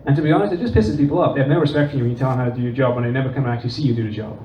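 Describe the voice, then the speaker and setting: A man with a Dutch accent, giving an animated conference talk and acting out quoted dialogue. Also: mid-range voice, very fast. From Netherlands and England. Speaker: conference presenter. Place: conference room. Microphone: distant room recording. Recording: distant, roomy, dull with faint noise.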